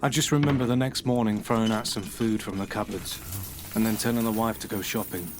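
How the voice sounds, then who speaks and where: An actor playing a troubled man, giving a halting, brooding confession under questioning. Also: fairly low pitch, steady tone, background noise, natural voice. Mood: uneasy. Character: troubled middle-aged man, paranoid and bitter. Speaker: suspect being questioned.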